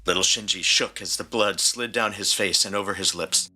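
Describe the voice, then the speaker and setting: A man's voice: low voice, steady tone, moderate pace, pushed and bright. A man reading a scripted story as a dramatic narrator, with music underneath. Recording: prominent music bed.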